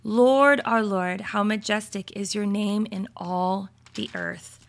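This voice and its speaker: A woman hosting a faith podcast, slightly raspy this morning, talking in a chatty, upbeat way with laughs and fillers. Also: mid-range voice, lively intonation, slow pace. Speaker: podcast host. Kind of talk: casual devotional podcast talk.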